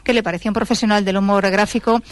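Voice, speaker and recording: A woman's voice: mid-range voice, fairly even intonation, very fast, no echo. A woman hosting a radio interview, asking long, fluent questions.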